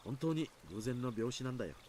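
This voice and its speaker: A man's voice: medium pitch, lively intonation, very fast, over a noisy crowd, natural voice. An actor playing an easygoing man in a visual novel, explaining things in a reassuring, long-winded way. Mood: calm, dismissive. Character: easygoing adult man calmly explaining away village curse rumours. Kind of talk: Japanese visual novel voice acting.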